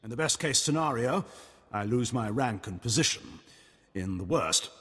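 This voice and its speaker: A man's voice: mid-range voice, expressive, moderate pace, fairly bright tone, husky, big-room sound. A man playing a cold, haughty middle-aged imperial admiral in a video game, formal and condescending. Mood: grave.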